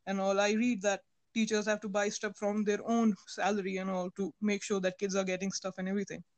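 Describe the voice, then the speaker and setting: A male podcast host with a high voice keeps a relaxed, casual conversation going. He asks curious questions and draws his guest out. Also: fairly quick.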